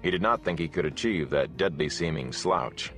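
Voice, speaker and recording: A man reading a fantasy novel as an audiobook narrator, with a measured, dramatic storytelling delivery. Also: a bass voice, lively intonation, a bit bright, faint music behind.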